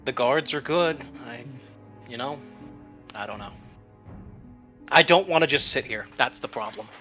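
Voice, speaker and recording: Male gamer, bright forceful tone, casual, tentative reasoning, dull lo-fi recording, light music behind. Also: medium pitch, animated, unhurried. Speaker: tabletop game player. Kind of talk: casual chat while gaming.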